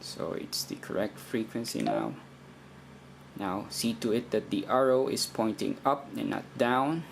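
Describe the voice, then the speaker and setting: Man, unhurried delivery, gravelly voice, hesitant step-by-step demonstrator, noticeable background noise. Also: on the low side. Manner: hesitant, thinking aloud. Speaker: radio programming demonstrator. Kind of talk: unscripted hands-on tutorial. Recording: noisy amateur recording, steady background noise.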